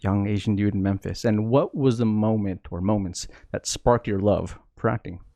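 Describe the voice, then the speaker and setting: A man hosting an interview, asking measured questions and backing the guest with frequent small acknowledgements. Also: fairly low pitch, gravelly, mellow, no room sound. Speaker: podcast host.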